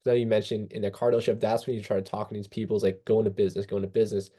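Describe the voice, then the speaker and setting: Man, podcast host, fast pace, mellow tone, casual, affirming interviewer. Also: fairly low pitch, flat delivery. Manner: affirming, informal, recaps before asking.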